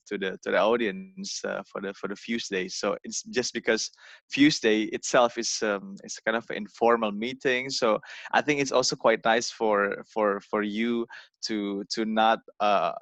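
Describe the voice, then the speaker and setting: A young man from Indonesia, giving an informal talk over a video call, halting with many fillers and repeated words. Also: on the low side, creaky, a bit bright, no echo. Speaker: guest speaker. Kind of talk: informal online presentation.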